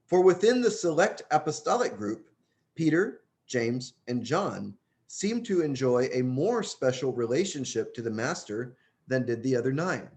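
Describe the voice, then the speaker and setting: A man's voice: medium pitch, sing-song, measured pace, a little mellow. A man reading a religious book aloud in an earnest, emphatic way, stressing key phrases. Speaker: audiobook reader.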